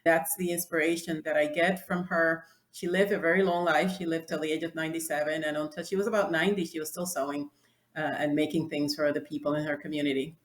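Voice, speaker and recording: Lowish-voiced dominican-born woman in her 60s, spanish native, talks things through, echoey room. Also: steady tone, quick pace. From Dominican Republic. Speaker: guest, clothing designer. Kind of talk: interview answers.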